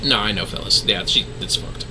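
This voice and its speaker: A man's voice: lowish voice, a little fast, noisy background. A man giving casual livestream game commentary in an offhand, wry, slangy way. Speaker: game streamer.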